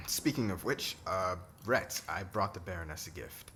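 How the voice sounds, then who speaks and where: A man's voice: sits low, animated, unhurried, creaky, bright, forceful tone, slight background noise, exaggerated voice. A man playing a cocky, laid-back warrior commander in an ASMR roleplay, talking in a casual, slangy, flirtatious way. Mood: earnest.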